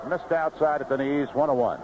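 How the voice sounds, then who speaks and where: A man calling baseball play-by-play on live radio in clipped phrases, pausing between pitches. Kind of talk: live radio sports commentary. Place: outdoor ballpark broadcast booth. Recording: noisy, muffled vintage 1970s radio broadcast.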